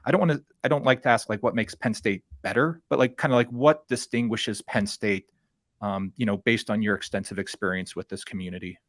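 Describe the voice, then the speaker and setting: Podcast host guy, lowish voice, super dry sound, chatty and rambles into his questions. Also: a little fast, no echo. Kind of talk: casual conversational interviewing.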